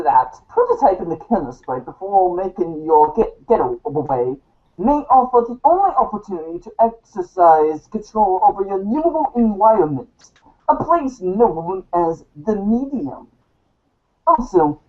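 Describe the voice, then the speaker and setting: A woman playing a verbose, dryly self-important guide writer, delivering a formal, arch monologue for a storybook show, halting in places. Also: medium pitch, a little mellow. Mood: lecturing. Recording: dull, lo-fi recording.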